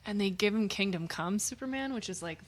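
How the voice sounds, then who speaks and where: Excited, foul-mouthed woman podcast co-host. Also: medium pitch, a little fast, a little hiss or hum. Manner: excited, swears constantly. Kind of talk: casual chat.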